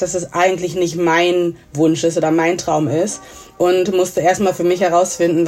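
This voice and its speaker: A woman's voice: lowish voice, a little mellow. Chatty thirty-year-old woman from Germany, music behind. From Germany, grew up in Essen. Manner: chatty, peppers German with English words. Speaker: interview guest, entrepreneur. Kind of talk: casual conversational interview.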